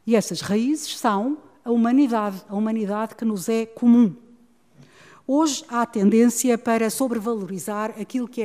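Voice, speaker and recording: A middle-aged woman with a European Portuguese accent, giving a measured, academic talk on a book about education. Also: fairly high pitch, warm tone. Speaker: speaker presenting a book on education. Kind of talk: formal talk followed by discussion. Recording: long reverb of a hall.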